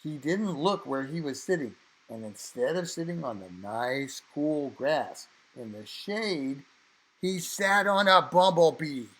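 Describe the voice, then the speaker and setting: A man reading a children's story aloud, expressively, with dramatic emphasis and drawn-out phrases. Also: fairly high pitch, animated, a bit bright, low-quality recording.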